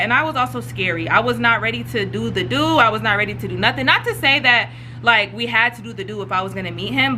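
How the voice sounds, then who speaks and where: A woman's voice: mid-range voice, pushed and bright, slight background noise, poor audio. A young woman from Atlanta, telling a storytime in a chatty, rambling way full of fillers. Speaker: YouTuber. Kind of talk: casual storytime.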